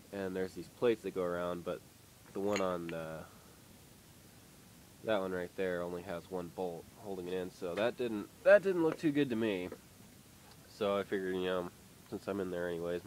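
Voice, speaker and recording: A man's voice: low voice, a little mellow, slight background noise, poor audio. A young man with a nasal voice from a head cold, giving a rambling, hesitant update on his car project from a dim workshop. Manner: rambling, hesitant, lots of fillers. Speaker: car hobbyist vlogger. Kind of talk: casual garage update. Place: workshop with poor lighting.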